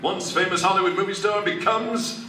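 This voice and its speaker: A man's voice: high voice, moderate pace, pushed and bright, slight background noise. A man playing a brash, bumbling reporter with old-fashioned slang in a comic 1940s-style radio play, recorded from afar in a roomy hall. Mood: excited explaining. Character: cocky, bumbling, slangy reporter who is secretly a masked hero. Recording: roomy convention hall, distant camera.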